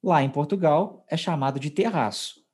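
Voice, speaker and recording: A man's voice: on the high side, moderate pace, a little mellow, husky. A Brazilian man hosting a podcast language lesson, explaining conversationally and checking in with his co-host. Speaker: podcast host teaching Portuguese.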